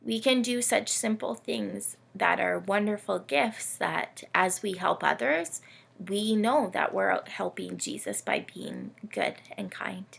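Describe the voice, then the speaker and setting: A woman hosts story time for young children, speaking slowly and simply. Her voice is bright with strong vocal fry, and she addresses the little listeners directly and encouragingly. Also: mid-range voice. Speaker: children's read-aloud host.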